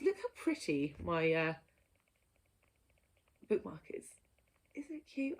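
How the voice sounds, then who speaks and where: A woman's voice: fairly low pitch, a bit bright. A woman talking casually and playfully to viewers as a book vlogger, with an enthusiastic manner. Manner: playful, enthusiastic, given to giggling. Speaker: book vlogger presenting a reading list. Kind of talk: casual chatty monologue to viewers.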